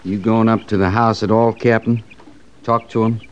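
A man playing a loyal cavalry sergeant with rough, folksy frontier talk in an old radio western. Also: lowish voice, flat delivery, normal speed, lightly acted. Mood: matter-of-fact. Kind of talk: 1940s-50s radio western acting. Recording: dull, noisy old radio recording.

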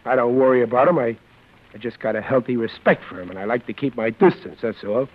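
A man acts out a tough, none-too-bright Brooklyn guy from a forties radio play. His voice is very husky, his talk slangy and touchy, full of wisecracks. The recording is old and muffled. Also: mid-range voice, fast talker, close to an everyday voice. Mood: blunt, wary. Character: tough, dim, touchy Brooklyn lug job-seeker. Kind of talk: 1940s radio drama acting.

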